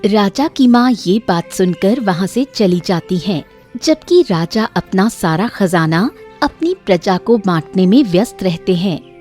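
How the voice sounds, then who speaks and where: A woman narrates a folk tale in a scripted storytelling style. Her voice sits at an average female pitch, with light music playing underneath. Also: lively intonation, measured pace, darkish tone.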